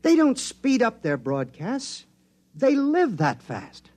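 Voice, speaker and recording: A man playing an excitable space-project technician in 1950s science fiction radio drama, talking in a conversational, explanatory way. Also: very high-pitched, slow pace, no room sound, full character voice. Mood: shaken, dismayed. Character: excitable male space-project technician, chummy, increasingly shaken.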